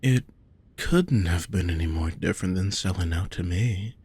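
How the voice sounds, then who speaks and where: A man playing a possessive, lovesick wealthy nobleman in a romantic audio roleplay, speaking formally and deliberately to the listener. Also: deep voice, darkish tone, breathy edge, heavily acted. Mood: smug, reproachful. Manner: formal, measured, deliberate phrasing.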